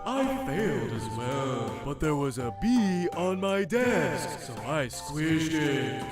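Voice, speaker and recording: A man voicing a slow, dim, gentle hulking schoolmate in a cartoon comic dub, with a ponderous manner. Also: fairly high pitch, big pitch swings, over music. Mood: dopey, matter-of-fact. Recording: cavernous echo with long reverb.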